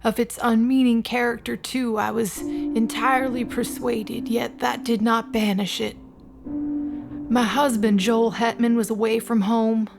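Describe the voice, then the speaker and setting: A woman playing the ghost of a murdered wife, telling a gothic tale in a measured, formal, literary manner. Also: a little high, little pitch movement, vocal fry, music in the background. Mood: solemn, uneasy. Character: murdered wife's ghost, calmly recounting her death. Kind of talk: dramatic first-person reading of a gothic tale.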